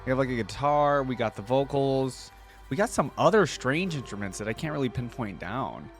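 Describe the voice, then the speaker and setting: A man's voice: medium pitch, expressive, normal speed. A man hosting a reaction video, thinking aloud in casual, informal commentary. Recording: music playing behind the voice.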